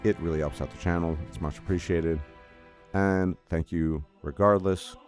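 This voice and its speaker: A man's voice: low-pitched, steady tone, normal speed, a little mellow, soft background music. A man hosting a gaming video, talking casually and enthusiastically while congested from a cold. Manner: enthusiastic, chatty, formal greeting. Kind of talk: casual solo commentary to camera.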